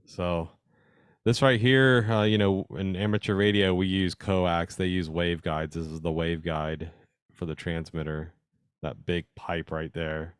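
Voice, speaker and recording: Deep-voiced man giving an informal technical talk. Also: fairly even intonation, measured pace, clear, resonant tone, no echo, studio quality. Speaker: presenter at an amateur radio club meeting. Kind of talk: informal slideshow presentation.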